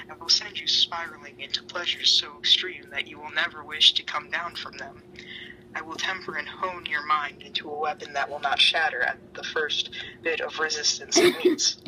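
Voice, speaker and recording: A man voicing a menacing, seductive male deity in a fan fiction reading, speaking in a breathy, deliberate, imperious way. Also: a little high, steady tone, background noise, close to an everyday voice. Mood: ominous, seductive.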